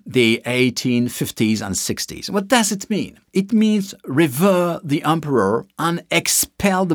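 Lively man giving a slide lecture. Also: mid-range voice, dry recording, studio quality. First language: not English. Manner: lively, asks and answers his own questions. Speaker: business lecturer.

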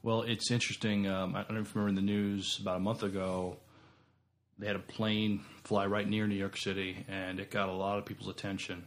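Hesitant American man, lowish voice. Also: level tone. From United States East Coast. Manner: hesitant, halting delivery. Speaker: podcast co-host. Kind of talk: casual discussion.

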